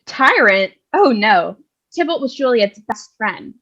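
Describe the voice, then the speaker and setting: A woman's voice: fairly high pitch, big pitch swings, slow, a bit bright, lo-fi sound, heavily acted. A woman playing a brash, sarcastic, scolding nun narrator in a comic stage reading. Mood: wry, bossy. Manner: scolding, sassy. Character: brash, sarcastic nun who takes over storytelling.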